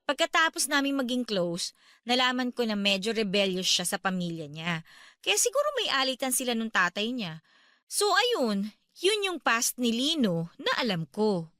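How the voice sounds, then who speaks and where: A woman's voice: a little high, big pitch swings, normal speed, sharp tone, dry recording, studio quality. An actress playing a sympathetic, chatty young woman confiding about a friend in a Filipino radio drama, with a Filipino accent.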